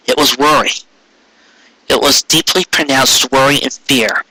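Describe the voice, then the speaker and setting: A man's voice: mid-range voice, fairly even intonation, a little slow, sharp tone, husky, no echo, poor audio. A man narrating a fan fiction story in a dramatic amateur reading style.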